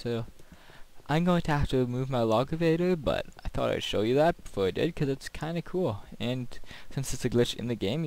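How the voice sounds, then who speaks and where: A man's voice: mid-range voice, darkish tone. A man giving casual gameplay commentary as a video host, rambling and thinking aloud.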